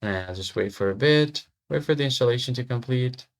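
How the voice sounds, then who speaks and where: A man presenting a software tutorial, giving casual step-by-step instructions. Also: mid-range voice, a little fast. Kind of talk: step-by-step screen tutorial narration.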